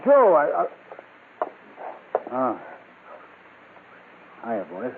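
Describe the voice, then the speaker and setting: An actor playing a shifty, cornered crime boss in an old radio drama. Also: male voice, mid-range voice, huge pitch swings, drawn-out words, creaky, exaggerated voice. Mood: nervous, evasive. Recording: tinny old radio recording, light noise.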